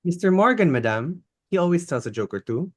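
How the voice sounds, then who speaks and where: A man's voice: fairly high pitch, sing-song, brisk, slightly rough. A man playing a polite, deferential household butler in a dramatic reading of a stage play, speaking formally and attentively. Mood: concerned, helpful.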